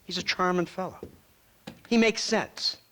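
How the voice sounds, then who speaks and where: Smug, bright-voiced American government agent. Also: male voice, high-pitched, a little slow, slight background noise. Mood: mocking contempt. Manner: mocking, rhetorical speechifying with chuckles. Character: smug, sardonic male government agent, ruthless and theatrical. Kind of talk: western video game cutscene acting.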